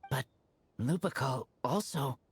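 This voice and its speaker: A woman voicing an earnest, loyal wolf-raised teenage boy in a video game, with husky, halting, simple speech. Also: very deep voice, lively intonation, a little hiss or hum. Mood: sincere.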